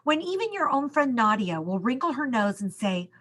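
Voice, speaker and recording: A woman reading a children's picture book aloud as a school storyteller, expressively, with dramatic pauses and drawn-out words. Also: on the high side, sing-song, fairly bright tone.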